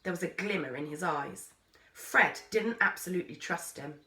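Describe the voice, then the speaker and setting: A woman reading a children's story aloud with expressive narration. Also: on the low side, moderate pace. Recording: roomy, echoey sound.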